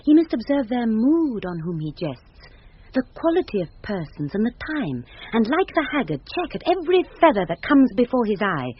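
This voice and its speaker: A courtly young page in a classic British radio drama, her voice high and husky with lively swings. The speech is earnest and nimble-witted, with a British accent. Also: normal speed. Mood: musing. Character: young woman disguised as a courteous page boy. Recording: dull vintage radio recording.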